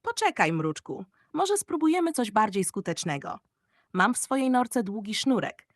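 Storybook mouse, played by a woman: little clever male, upbeat, eager, raised pitch. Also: big pitch swings, moderate pace, no echo, clean studio sound.

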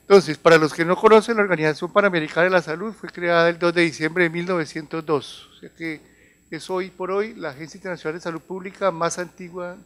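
A man in his fifties with a Colombian accent gives a semi-formal conference presentation, explaining things in a halting way with repeated phrases. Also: a little high, fairly bright tone. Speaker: health organization advisor giving a talk. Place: large conference room. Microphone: handheld or table microphone.

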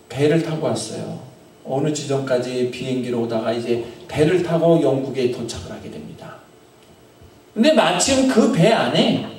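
A middle-aged male pastor tells a story during a sermon in a small chapel, speaking into a handheld mic, with long pauses and phrases that trail off. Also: sits high, darkish tone. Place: small chapel in front of an altar. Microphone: handheld microphone. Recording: very echoey room, from a distance.

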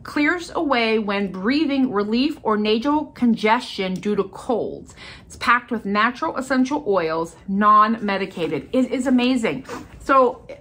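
A woman's voice: on the high side, animated, measured pace, fairly bright tone. A middle-aged American woman with a stuffy, congested voice, chatting casually and enthusiastically as she presents shopping finds. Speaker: haul video host. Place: furnished room at home, indoors.

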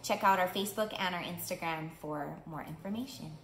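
A woman's voice: fairly low pitch, brisk, fairly bright tone. A middle-aged woman, a children's art teacher, speaking to young children as in a picture book storytime, with simple phrasing. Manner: simple, clear phrasing with questions to young listeners. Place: small indoor room. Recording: roomy indoor echo, clear background noise.

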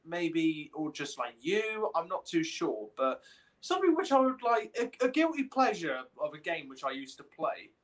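A man's voice: fairly high pitch, big pitch swings, normal speed, a bit bright. A young man chatting casually as a podcast co-host on a video call. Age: young adult. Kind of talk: casual chat. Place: indoor room on a video call.

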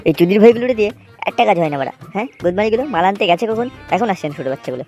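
A woman's voice: mid-range voice, expressive, rapid-fire, darkish tone, faint music behind, natural voice. A woman voicing a brash, bossy, foul-mouthed young street tough in a comedy cartoon. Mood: irritated, threatening. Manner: brash, cursing, impatient.

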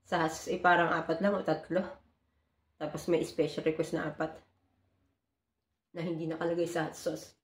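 A woman in her forties with a low voice talks earnestly to her phone camera, explaining her housekeeping work step by step. She drops English phrases into her talk. The small bedroom gives the sound a noticeable echo. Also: a little mellow. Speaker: hotel housekeeper vlogger. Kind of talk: casual vlog talk to camera. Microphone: phone camera at close range.

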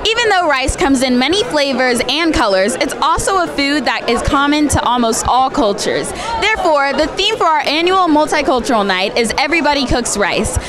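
A young woman reporting for student news, her voice high and bouncing all over. She holds a handheld mic in a school cafeteria, with a loud crowd chattering behind her. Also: big pitch swings, normal speed, few pauses, background noise.

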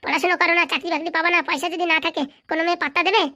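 A woman's voice: very high-pitched, steady tone, pushed and bright, exaggerated voice. A woman voicing a cocky, bossy village boy who schemes and talks people round. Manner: bossy, fast-talking, wheedling. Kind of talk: comic cartoon voice acting.

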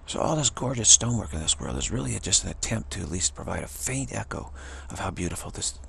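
A man giving a rambling, informal spiritual monologue in short, halting phrases that trail off. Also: low voice, animated, creaky, a bit bright, husky. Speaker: spiritual vlogger.

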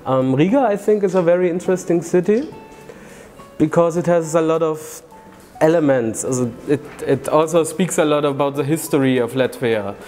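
A young man speaks English in a voice pitched a little high for a man. He talks thoughtfully and at length, circling back on his ideas, with soft music running underneath. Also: normal speed. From Germany. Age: young adult. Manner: thoughtful, rambling, gesturing with his hands. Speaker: film student. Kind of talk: interview answers to camera. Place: indoor corridor.

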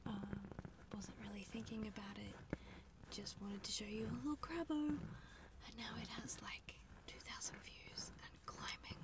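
A woman's voice: mid-range voice, measured pace, recorded from a distance. A young woman whispering a rambling, reassuring ASMR chat while sitting in her parked car. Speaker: ASMR creator. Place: parked car, rain outside.

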